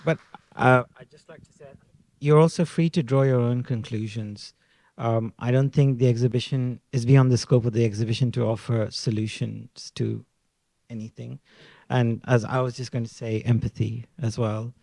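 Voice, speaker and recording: Male panelist; gravelly, dark, hesitant, flat delivery. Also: mid-range voice, normal speed, no room sound. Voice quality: hesitant, with many fillers. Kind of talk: casual panel talk.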